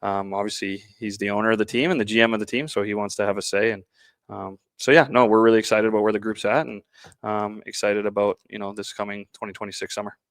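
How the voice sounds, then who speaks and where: A Canadian man speaks as a coach in a sports interview, in a low voice with very little melody. He pauses often and feels his way through his answers. The sound is dry, with no room around it. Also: steady tone, no room sound. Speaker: baseball coach being interviewed.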